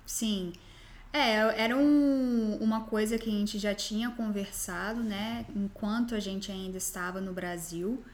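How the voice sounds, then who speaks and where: A woman speaking in casual conversation, relaxed and explanatory. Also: mid-range voice, a little slow.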